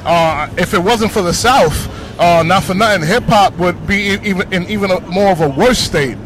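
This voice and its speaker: A man with a Bronx New York accent, a DJ talking casually in slang on a city street, full of shout-outs and "you know what I'm saying". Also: high voice, moderate pace, a little hiss or hum, low-quality recording. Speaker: DJ interviewed on the street.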